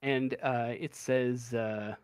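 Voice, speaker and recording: A man's voice: mid-range voice, fairly even intonation, slow, clean tone, no echo, studio quality. A man narrating a tabletop role-playing game as game master, improvising and searching for his words.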